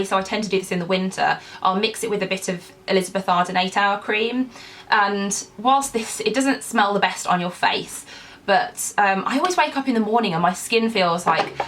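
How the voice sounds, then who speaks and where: A woman's voice: medium pitch, fairly bright tone, slight background noise. A young woman with a cold, sounding congested as she chats casually through her skincare routine with lots of ums. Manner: chatty, with frequent ums and kind ofs. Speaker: beauty vlogger.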